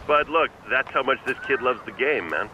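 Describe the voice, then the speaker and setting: An actor playing an easygoing, talkative sports agent in a video game, chatting casually over a phone line. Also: male voice, medium pitch, lively intonation, moderate pace. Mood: relaxed, promotional. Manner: folksy, casual. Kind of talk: casual radio phone-in interview. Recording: dull phone line with outdoor noise.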